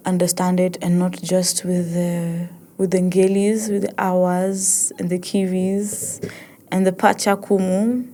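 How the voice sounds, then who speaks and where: A young woman with an East African accent speaks in a slightly low register. She hosts as a poet, reflecting out loud in a loose, informal way. Her delivery is expressive, with phrases often repeated while she searches for the next word. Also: a little hiss or hum. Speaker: host and poet. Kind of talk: casual reflective monologue with code-switching.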